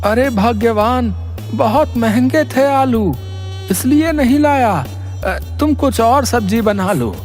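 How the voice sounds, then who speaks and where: An actor voicing a stingy, fussy village merchant man in a cartoon story, haggling and protesting in drawn-out phrases. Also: medium pitch, animated, darkish tone, soft background music. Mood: grumbling, dismissive. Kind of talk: animated moral-story cartoon acting.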